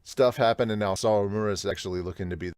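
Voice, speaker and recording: A man hosting a podcast, chatting casually and excitably through a manga read-along, with some swearing. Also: fairly low pitch, quick pace, clean tone, no room sound, studio quality. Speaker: podcast host.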